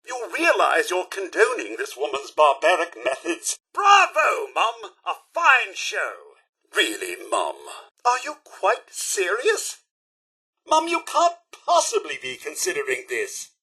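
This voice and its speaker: A man's voice: sits high, pushed and bright, slightly rough, heavily acted. A male actor voicing a prim, fussy robot butler in a video game, with a posh British accent and a theatrical, very polite manner. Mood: reproachful, dismayed, then chipper, obliging. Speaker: companion character in a video game. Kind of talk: video game voice acting, short dialogue lines.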